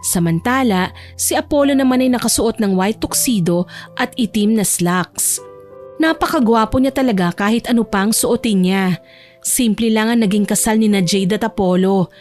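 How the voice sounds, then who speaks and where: A woman's voice: mid-range voice, moderate pace, darkish tone. A woman narrator reading a scripted romance story in audiobook style. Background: faint music.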